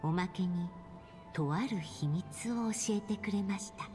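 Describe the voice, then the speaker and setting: A woman voicing a fairy-tale storyteller in a game, narrating politely and gently in a measured, scripted way. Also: on the low side, lightly acted. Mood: gentle, measured. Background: music.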